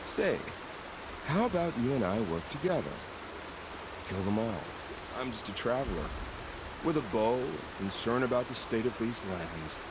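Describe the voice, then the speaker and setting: Guy acting as a creepy calm ex soldier who loves the kill, game character, swooping musing delivery, stream noise behind him. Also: mid-range voice, lightly acted. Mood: intrigued, inviting. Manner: measured, musing, theatrically savoring menace. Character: unsettlingly calm, death-loving former soldier who hunts bandits for sport. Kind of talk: video game dramatic acting.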